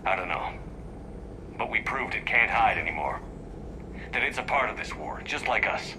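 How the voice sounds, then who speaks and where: A male actor as a resolute military commander in a space game. The voice sits low and the delivery is measured and terse. Clear ambient noise runs behind the dialogue. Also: expressive, close to an everyday voice. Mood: calm, resolute. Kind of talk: cinematic sci-fi game dialogue.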